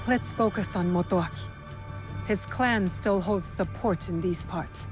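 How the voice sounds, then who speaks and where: A woman's voice: mid-range voice, measured pace, over music, lightly acted. A woman voicing a resolute young female assassin in a video game, terse, solemn and stern. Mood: grim resolve. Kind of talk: dramatic video game acting.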